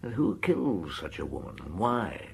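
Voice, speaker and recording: Gravelly, shrewd detective chief. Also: male voice, low voice, sing-song, moderate pace, darkish tone, exaggerated voice. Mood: probing. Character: senior male detective chief, shrewd, thoughtful, unhurried. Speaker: actor in an audio drama. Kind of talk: audio drama acting.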